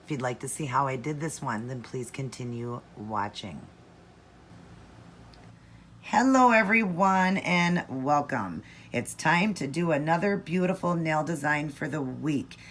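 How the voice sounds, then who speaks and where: A hoarse woman recovering from laryngitis, chatting through a nail art tutorial. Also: low voice, animated, measured pace, a bit bright, slight background noise. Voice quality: raspy. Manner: friendly, chatty, explains each step. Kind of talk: casual tutorial chat.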